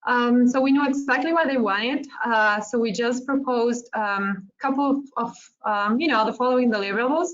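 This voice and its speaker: A woman's voice: on the high side, normal speed, few pauses. A woman from Argentina presenting a webinar, friendly and hesitant, with many fillers. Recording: muffled lo-fi online call audio.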